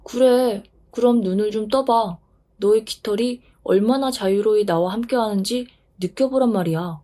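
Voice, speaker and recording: A woman's voice: medium pitch, normal speed, dark tone, close to an everyday voice. A woman voicing the wind as a playful, boastful newborn figure speaking eagerly and breezily. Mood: coaxing.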